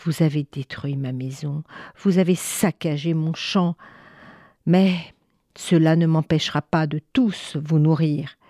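A woman's voice: low-pitched, steady tone, a little slow, dark tone, no room sound, studio quality. A woman storyteller voicing a protective, stubborn peasant mother, evasive and firm in manner. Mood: stern, demanding.

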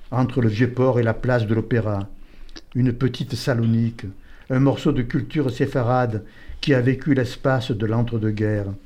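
Man reading slowly in a mellow voice. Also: mid-range voice. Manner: slow, measured literary reading. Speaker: narrator reading his text. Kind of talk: poetic first-person narration.

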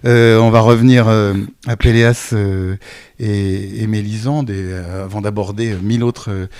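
A man's voice: fairly low pitch, steady tone, normal speed, darkish tone, clear, resonant tone. A man hosting a radio interview, asking long, winding questions with many fillers.

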